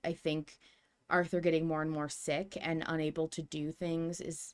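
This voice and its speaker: A woman's voice: low voice, clean tone. A woman podcast co-host in a casual fan discussion, thoughtful and tentative as she thinks aloud.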